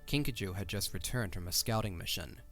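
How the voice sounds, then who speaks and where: A man's voice: deep voice, brisk, fairly bright tone, soft background music. A man reading scripted narration for a fantasy audio drama.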